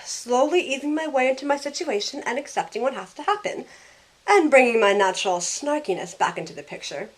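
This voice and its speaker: A woman's voice: sits high, big pitch swings, brisk, low-quality recording. A woman playing a snarky young heroine in an audio drama, narrating in the first person and speaking her lines with wry sarcasm. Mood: wry narration. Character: snarky young woman thrown back aboard the Titanic. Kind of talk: first-person scripted narration and acted dialogue.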